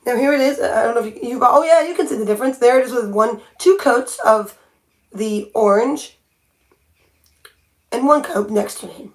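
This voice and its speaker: A woman's voice: on the high side, normal speed. A woman chatting casually on a livestream as she paints her nails, thinking aloud and often restarting her sentences. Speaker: nail art livestreamer. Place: home by a window.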